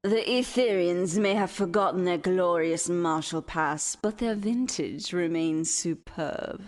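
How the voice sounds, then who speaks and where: A woman's voice: on the low side, a little mellow, lightly acted. A woman playing a devoted villainous sorceress queen in a fantasy audio drama, speaking formally and deferentially. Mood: smooth deference. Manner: formal, deferential, theatrical, measured.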